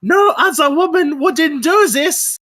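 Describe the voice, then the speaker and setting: A woman's voice: sits high, expressive, takes it slowly, fairly bright tone, full character voice. A woman playing an elegant, furious young aristocratic wife in a dramatic table read. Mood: exasperated, furious.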